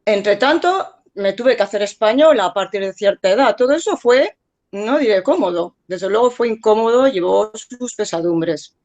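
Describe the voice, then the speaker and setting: A woman, a native French speaker who grew up in Spain and France, giving a measured, reflective conference talk as an artist and art lecturer. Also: mid-range voice, expressive, darkish tone, muffled recording.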